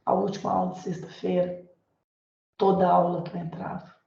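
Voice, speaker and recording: A Brazilian woman teacher with a hoarse voice from a viral illness, lecturing informally in an online class, full of fillers and tag questions. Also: fairly low pitch, little pitch movement, normal speed, dark tone. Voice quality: hoarse, gravelly. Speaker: Portuguese teacher. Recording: echoey, dull lo-fi room sound.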